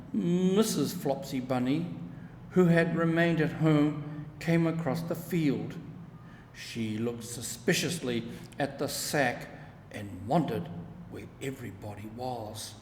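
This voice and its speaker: Slow-paced man reading a storybook, echoey. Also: medium pitch. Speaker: storybook reader. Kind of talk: scripted children's story narration. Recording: echoey room, long reverb, steady background noise.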